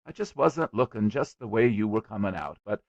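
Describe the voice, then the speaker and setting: A dogged little storybook schoolboy, voiced by a male narrator, full of self-importance. He talks in earnest, colloquial bursts with a swooping pitch, close and dry. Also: animated, brisk, no echo, dull audio. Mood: meek, indignant. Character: stubborn, self-important young schoolboy with excuses.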